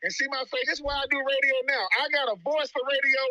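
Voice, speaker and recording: A man in his forties gives heartfelt commentary in a quick, emphatic rhythm like a preacher. His voice sits high and sounds very bright, recorded close and dry. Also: flat delivery, no room sound, low-quality recording. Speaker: guest, radio host and former pro football player.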